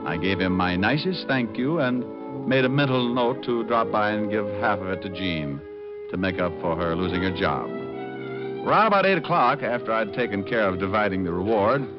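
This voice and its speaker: A man's voice: on the low side, natural voice. An actor playing a cocky, wisecracking male private detective, glib and sardonic, in an old-time radio crime drama. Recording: dull old radio recording, loud music.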